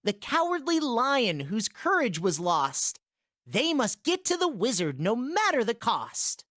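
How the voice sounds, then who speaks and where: A woman's voice: on the high side, very animated, a little slow, a bit bright, no room sound, clean studio sound. Sing-song woman narrating rhymes. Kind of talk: scripted rhyming storybook narration.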